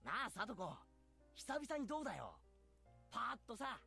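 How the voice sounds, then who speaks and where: An actor voicing an energetic teenage boy in a game, talking in a rough, pushy, casual way. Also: very high-pitched, fast talker, faint music behind, full character voice. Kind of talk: anime game voice acting.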